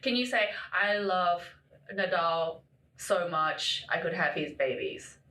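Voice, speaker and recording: A woman's voice: lowish voice, slow, pushed and bright, echoey room, recorded from a distance, rough recording. A woman with an Australian accent hosting a podcast, chatting playfully and asking questions. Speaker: podcast host. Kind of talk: casual chat.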